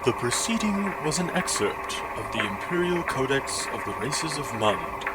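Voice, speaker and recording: A man's voice: mid-range voice, very animated, normal speed, very noisy. A man reading a scripted fantasy adventure story as a dramatic narrator.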